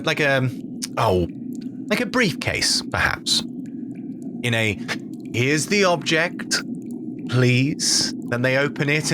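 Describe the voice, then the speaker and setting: A man playing a tabletop game, brainstorming a plan in a casual, halting, improvised way. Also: a little high. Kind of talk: casual improvised roleplay planning. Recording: clear background ambient noise.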